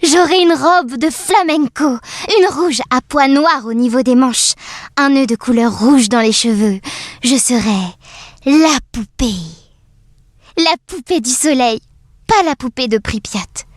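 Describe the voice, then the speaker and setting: An actress playing a dreamy, wistful doll who tells her own story in measured, poetic narration for an audio drama. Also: high voice, no room sound, studio quality. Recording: music under the voice. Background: music.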